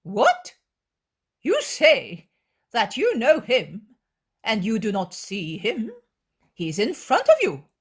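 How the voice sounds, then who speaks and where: A woman's voice: mid-range voice, very animated, slow pace, pitched above the performer's own voice. Woman putting on a sneering nasal male scribe. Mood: mocking. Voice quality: nasal, drawling. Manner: mocking, accusing.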